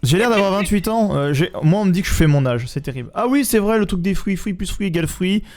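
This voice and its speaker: A man's voice: high-pitched, expressive, quick pace, darkish tone. A tired man in his twenties chatting and joking with friends while gaming on stream. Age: 20s. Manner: joking, playful complaints. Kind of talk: casual chat while gaming.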